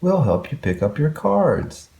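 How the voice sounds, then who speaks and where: A man's voice: fairly high pitch, very animated, unhurried, gravelly, dark tone, roomy sound, pitched above the performer's own voice, exaggerated voice. Man reading as a kind, lilting little girl. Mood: reassuring.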